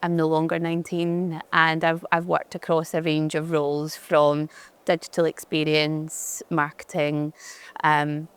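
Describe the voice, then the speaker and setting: A woman from Glasgow with a strong Glaswegian accent, her voice low and smooth. She talks in a chatty, easygoing way, often restarting her sentences, as a guest in a conference fireside chat. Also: monotone, unhurried. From Glasgow, Scotland. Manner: chatty, frequent restarts and fillers. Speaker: head of digital experience, conference guest.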